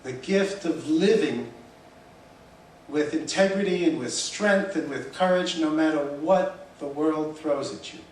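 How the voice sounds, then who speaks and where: A man's voice: a little high, unhurried, a little mellow. Guy giving an earnest speech, mic far off, big echo, bit of hiss. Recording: echoey hall from far off.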